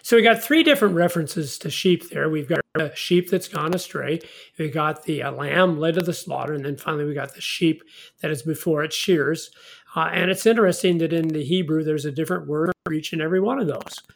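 A man's voice: a little high, fairly quick, creaky. A man leading a conversational Bible study in a folksy, informal way, often putting leading questions to his partner. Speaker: host of a Bible study discussion.

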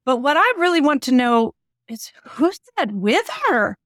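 Higher-voiced woman in a comic skit. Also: big pitch swings, unhurried, fairly bright tone, no room sound, studio quality. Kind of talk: scripted comedy radio skit dialogue.

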